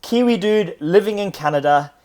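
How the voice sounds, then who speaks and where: An excitable man co-hosting a casual podcast. He swears freely and gushes with enthusiasm. His voice sits a little high for a man. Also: moderate pace. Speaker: podcast co-host.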